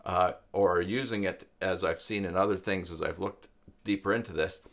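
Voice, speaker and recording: A man's voice: deep voice, steady tone, moderate pace, vocal fry, a bit bright. Man in his fifties, casual tutorial host. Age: 50s. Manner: conversational, rambling with asides. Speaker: host of a tutorial live stream. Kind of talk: casual live-stream tutorial talk.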